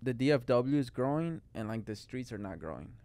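Casual young male guest from Mexico, clear smooth tone, rambling chat. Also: mid-range voice, sing-song, brisk, dark tone, dry recording. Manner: rambling, lots of "like" and "bro". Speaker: guest artist. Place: podcast studio. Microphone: studio mic on boom arm.

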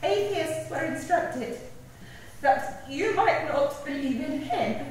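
A woman giving a personal, anecdotal eulogy in a measured, halting way, with wry humour. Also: high voice, lively intonation. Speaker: eulogist at a memorial service. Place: large stone village church, indoors. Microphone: far camera among the congregation. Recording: echoey church, distant mic, faint noise.

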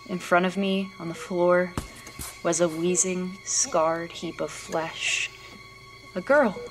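A woman's voice: on the low side, gravelly, poor audio. A woman acting in a horror audio drama as a frightened, hesitant woman, with music under her voice. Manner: halting, hesitant delivery. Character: frightened woman recounting a disturbing experience, searching for her dog. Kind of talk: horror audio drama, narration and acted scenes.

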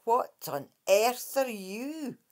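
A woman's voice: on the high side, expressive, slow, a bit bright. A woman voicing a bored, blunt girl of nearly thirteen with a Scottish accent. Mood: curious. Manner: blunt, curious.

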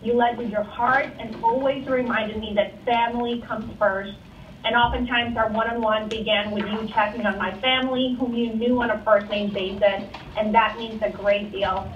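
A woman's voice: fairly high pitch, steady tone, normal speed, distant mic, a little hiss or hum. A woman with a Filipino accent reading an earnest, emotional farewell to a mentor over a video call. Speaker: coworker giving a tribute. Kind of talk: prepared farewell speech. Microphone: video call on room speakers. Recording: muffled call speaker, echoey room.

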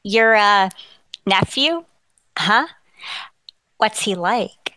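Female actor for indignant, sharp-tongued barroom gal in vintage radio comedy; animated delivery, bright voice. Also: medium pitch, drawn-out words, no room sound, exaggerated voice, low-quality recording. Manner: haughty, indignant, snappy comebacks. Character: man-hungry, easily offended, sharp-tongued woman at a tavern. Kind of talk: 1940s radio comedy acting.

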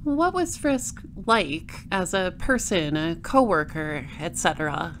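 A woman playing a cool space patrol detective in an audio drama, questioning in a clipped, deadpan way. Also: fairly low pitch, takes it slowly, slight background noise, full character voice. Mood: businesslike. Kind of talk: audio drama dialogue and narration.

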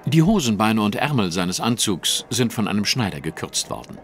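A haughty, swooping detective. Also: male voice, fairly low pitch, big pitch swings, moderate pace, a bit bright, a little hiss or hum. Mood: lecturing. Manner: precise, formal, lecturing. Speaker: voice actor in an audio drama. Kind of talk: German audio drama acting.

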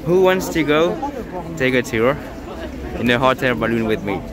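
A man's voice: medium pitch, expressive, moderate pace, a loud crowd. A young man with a French accent, chatting and joking through a casual city vlog, filmed on a phone at arm's length outdoors. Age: young adult. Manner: chatty, joking, many fillers and restarts. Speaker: vlogger. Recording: outdoor city noise behind. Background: street noise.

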